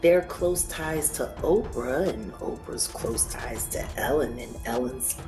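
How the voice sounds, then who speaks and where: A woman's voice: a bass voice, expressive, slow, over music. A woman giving commentary, building her point clause upon clause.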